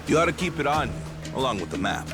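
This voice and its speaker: A man's voice: mid-range voice, very animated, quick pace, over music, lightly acted. A man playing a wry pirate crewman in a video game, casual, slangy and sardonic. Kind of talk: video game cutscene acting.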